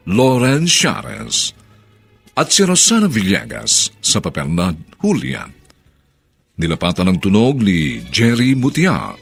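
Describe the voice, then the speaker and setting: A man with a Filipino accent announcing a radio drama in formal, grand scripted narration with a gravelly, husky voice. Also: mid-range voice.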